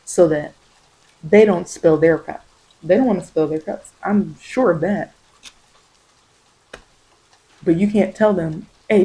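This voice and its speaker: A woman's voice: lowish voice, warm tone, low-quality recording. Encouraging woman giving a tarot reading. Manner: encouraging, repeats phrases for emphasis. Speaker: tarot reader and ASMR host. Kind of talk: improvised spiritual tarot guidance addressed to listener.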